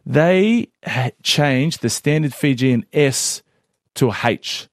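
A man co-hosting a podcast in casual chat, upbeat and quick to laugh. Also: medium pitch, lively intonation, dry recording, studio quality. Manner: laughs often, teasing and upbeat. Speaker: podcast co-host.

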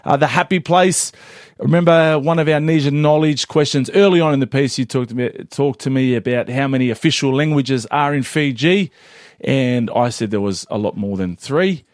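A man co-hosting a podcast in casual chat, upbeat and quick to laugh. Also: medium pitch, dry recording, clean studio sound. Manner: laughs often, teasing and upbeat. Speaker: podcast co-host.

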